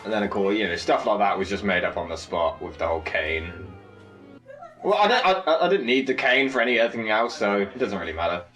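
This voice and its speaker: A man's voice: on the low side, expressive, brisk, fairly bright tone, faint music behind. A man who made and acted in the film, talking casually on a cast commentary. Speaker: filmmaker and actor on a cast commentary.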